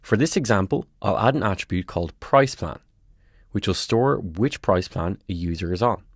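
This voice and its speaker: A man presenting a scripted tutorial, giving clear step-by-step instructions in a close, dry voice-over recording. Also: sits low, lively intonation, moderate pace, no echo.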